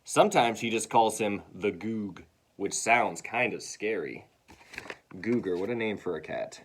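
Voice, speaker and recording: A man reading a children's story aloud in an expressive way, acting out sounds and dialogue. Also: on the low side, measured pace, a little hiss or hum.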